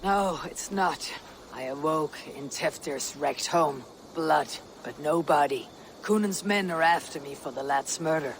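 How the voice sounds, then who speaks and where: A woman playing a bold, blunt Viking warrior chieftain with a measured, declamatory delivery in a dramatic game cutscene. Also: sits low, slight background noise, poor audio. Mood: grave, urgent.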